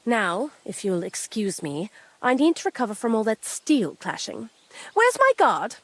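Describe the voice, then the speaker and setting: A woman's voice: a little high, sing-song, unhurried, no echo. An actress playing an imperious, exasperated noblewoman with a dry manner in a period video game. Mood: dry, dismissive.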